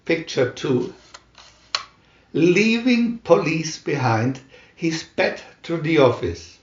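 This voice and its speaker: A man reading a scripted adventure story aloud in a dramatic, deliberate narrator's manner. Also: on the high side, very animated, roomy sound, lo-fi sound. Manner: dramatic, deliberate comic-adventure reading with announced picture numbers. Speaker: narrator and reader.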